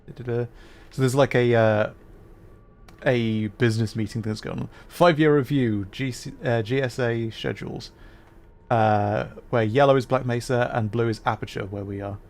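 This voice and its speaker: A man's voice: mid-range voice, fairly even intonation, moderate pace, a little mellow. Man casually livestreaming a game. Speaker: livestreamer playing a video game. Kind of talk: casual live game commentary and chat with viewers.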